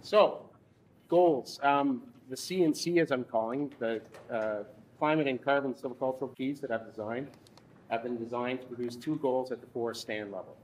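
A man in his thirties leading a workshop from a lectern in a conference room, speaking haltingly with frequent restarts. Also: mid-range voice, low-quality recording. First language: English. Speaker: workshop instructor. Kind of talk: workshop presentation.

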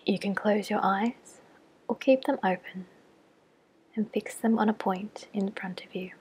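A woman leads a guided body scan meditation in a soft, breathy near-whisper, giving gentle, measured instructions with long pauses. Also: mid-range voice, fairly even intonation, low-quality recording. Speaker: clinical psychologist leading a guided meditation.

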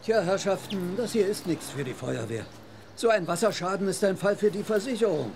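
A matter-of-fact fireman in a children's radio play. His high voice has a gravelly edge and an animated lilt. Faint noise sits behind him. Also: fairly quick. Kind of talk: children's audio drama acting.

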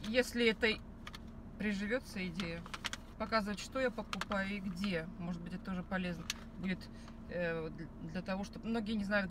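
A woman in her thirties talks to the camera from her parked car, chatty and rambling, full of fillers and restarts. Her pitch sits in the middle of the range. Steady car and street noise runs behind her voice. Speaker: vlogger. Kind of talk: casual chat. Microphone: phone camera on the dashboard.

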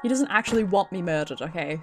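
A woman's voice: fairly low pitch, expressive, fast, music in the background. A British woman giving casual, playful, sweary live commentary as she streams a video game. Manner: playful, sweary, chatting to viewers.

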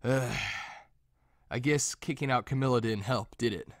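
Man acting brooding student, slow-spoken. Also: medium pitch, no echo, clean studio sound, close to an everyday voice.

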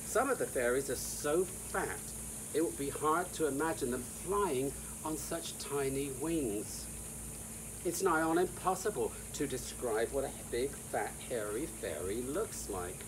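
A man in his sixties or older reads a whimsical storybook aloud in a theatrical, deliberate manner. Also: mid-range voice. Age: 60s or older. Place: outdoors in a sunny garden. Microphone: camera a few feet away. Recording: outdoor hiss with insect noise.

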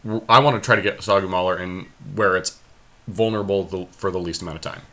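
A man's voice: deep voice, quick pace, bright, forceful tone. A man giving casual commentary on an online card game, thinking aloud as he talks through each move. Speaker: podcast host playing an online card game.

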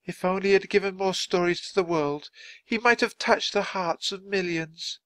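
A man's voice: high-pitched, normal speed, a bit bright, no echo, voice pushed up. A man voicing a sorrowful young woman in mourning for a storybook, lamenting and wistful. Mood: grieving.

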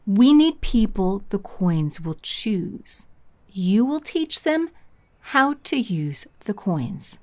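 Audio drama villainess, acted by a woman: deliberate, authoritative, secretive, widely varied intonation at a slow pace. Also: mid-range voice, sing-song, darkish tone. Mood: cool, scheming. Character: scheming female villain in human disguise.

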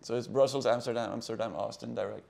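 A man's voice: medium pitch, fairly even intonation, creaky, darkish tone. A man in his thirties from Belgium, a comedian guest in a casual studio interview, speaking with frequent restarts on a lapel mic. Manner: halting, restarts often. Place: indoor studio set with table.

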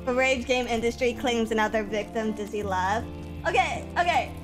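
High-voiced woman streamer with a stuffy cold, casual chat with bursts of yelling, clear game music under her. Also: normal speed, poor audio. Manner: swings from mumbling to loud excited yelling.

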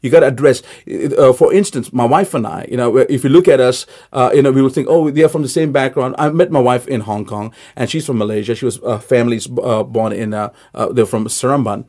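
A man who is a pastor talks in a radio studio with an even, mid-range voice. He argues his point with emphasis and keeps posing questions to the listener. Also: medium pitch, brisk, few pauses. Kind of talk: conversational interview.

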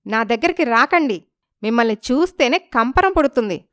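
A woman's voice: fairly high pitch, expressive, normal speed, fairly bright tone, no echo, clean studio sound. A woman voicing a naive village wife in a moral story, wronged and indignant, pleading and questioning. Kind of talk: dramatized moral story dialogue.

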